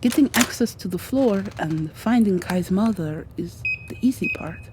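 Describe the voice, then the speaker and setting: A woman voicing a devoted, guilt-ridden rescuer in an ASMR roleplay drama, hushed, halting and earnest. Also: medium pitch, lively intonation, heavy background noise, close to an everyday voice. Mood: tense, determined.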